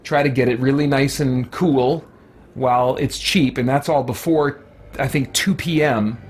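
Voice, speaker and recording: A man's voice: mid-range voice, fairly even intonation, measured pace, slightly rough. An American man chatting casually and in a rambling, laid-back way as he streams a game from his home office on a microphone with a pop guard. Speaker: let's play streamer. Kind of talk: casual gameplay commentary, chatty.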